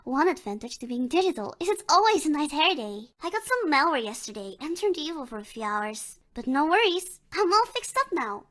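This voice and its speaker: A woman's voice: high voice, very animated, brisk, hardly any pauses, a bit bright. A woman voicing a bubbly young female digital pop idol in a video game, with a perky, cute, theatrical delivery. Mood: playful, matter-of-fact, then chatty, teasing. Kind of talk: video game character dialogue.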